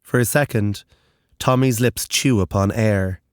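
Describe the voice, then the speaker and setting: A narrator reading dark, literary horror fiction in a deliberate, intense and dramatic way. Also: medium pitch, clean tone, no echo, studio quality.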